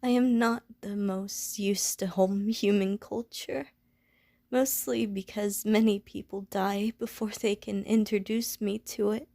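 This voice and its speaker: Woman playing a slow, soft-toned, gentle Medusa. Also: mid-range voice, steady tone, vocal fry, no echo. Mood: nervous apology. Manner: formal, careful, stilted wording, pleading and apologetic. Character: gentle, anxious, lonely female Medusa wary of humans. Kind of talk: ASMR audio roleplay acting.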